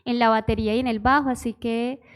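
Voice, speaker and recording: A woman's voice: fairly high pitch, steady tone, fast talker. A woman in her twenties with a Bogotá Spanish accent, giving her personal testimony in church in a shy, giggly, hesitant way. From Bogotá, Colombia. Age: 20s. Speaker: church musician, pastor's wife.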